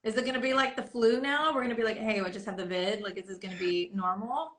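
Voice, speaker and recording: A woman's voice: fairly high pitch, fast talker, a bit bright. A woman coach hosting a casual live stream chat on a desktop podcast microphone, talking in a rambling way with many fillers. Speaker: host and coach.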